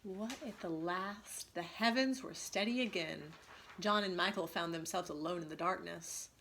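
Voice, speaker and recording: A woman teacher reading a story aloud expressively to students, pausing to ask them questions. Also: fairly low pitch, a bit bright, slight background noise.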